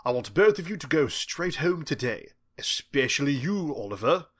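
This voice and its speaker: A man voicing a suave, smug math teacher for a storybook, smooth and polite, with a British accent. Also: on the high side, very animated, normal speed, fairly bright tone. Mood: smug, breezy. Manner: smooth, polite, drily self-satisfied.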